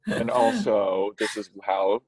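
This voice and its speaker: A man's voice: lowish voice, fairly even intonation, takes it slowly, a little mellow, low-quality recording. A man talking casually in a group discussion.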